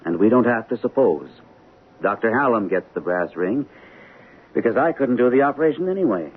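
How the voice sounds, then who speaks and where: An actor playing a calm, reassuring surgeon, soothing and measured in an old-time radio drama style. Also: male voice, medium pitch, husky. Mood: professional, measured. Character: calm, reassuring surgeon, devoted lover of woman doctor. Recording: tinny, hissy old radio broadcast.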